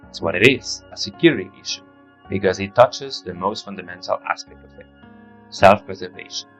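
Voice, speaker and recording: A young man from Catalonia speaks English, his voice very low. He delivers a prepared statement in an earnest, measured way. The audio is dull and lo-fi, with quiet music underneath. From Catalonia, Spain. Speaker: master's student.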